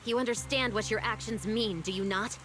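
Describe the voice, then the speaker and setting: A woman playing a stern, formal figure of authority in a game cutscene, questioning in a measured, commanding way. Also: on the high side, steady tone, close to an everyday voice. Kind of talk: dramatic game cutscene voice acting. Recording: noticeable background ambience noise.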